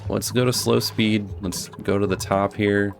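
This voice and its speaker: A hoarse man casually talking through his gameplay as a guide host, thinking aloud as he plays. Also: on the low side, a little slow. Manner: thinking aloud, relaxed, restarts. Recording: music playing behind.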